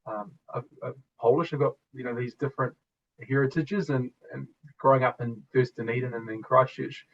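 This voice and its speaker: A young New Zealand man in his twenties, talking earnestly and thoughtfully as an interview guest, often pausing to restart a phrase. His voice sits in an ordinary middle pitch, and the recording sounds a little dull and muffled. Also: fairly even intonation. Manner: reflective, earnest, with soft laughs and restarts. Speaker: guest, former student. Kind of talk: conversational interview.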